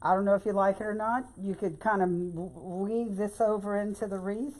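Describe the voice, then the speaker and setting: An older woman with a hoarse voice and a Louisiana Southern accent, chatting folksily through a bow-making tutorial. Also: medium pitch, steady tone, normal speed, darkish tone, poor audio. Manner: chatty and folksy, keeps saying y'all. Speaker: craft tutorial host. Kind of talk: casual bow-making demonstration.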